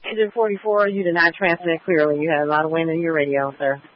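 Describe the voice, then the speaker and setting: A man's voice: on the high side, little pitch movement, fast. A man working as a fire department radio dispatcher, reading back each unit's call in clipped, formal radio phrasing. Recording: muffled radio transmission.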